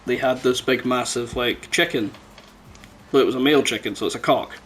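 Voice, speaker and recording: Man, podcast host, casual sweary banter, teasing, medium pitch, faint background noise. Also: fairly quick, fairly bright tone.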